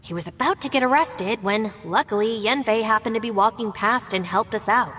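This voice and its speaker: A woman voicing a calm, capable young female gang member in a video game, composed and matter-of-fact. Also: a little high, brisk. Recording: game audio with long reverb.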